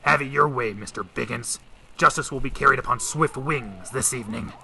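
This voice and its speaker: Forceful, gravelly hard-boiled detective. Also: male voice, mid-range voice, normal speed, a little hiss or hum, rough recording. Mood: indignant. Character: brusque, hard-boiled 1930s male police detective. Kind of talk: old-time radio drama acting.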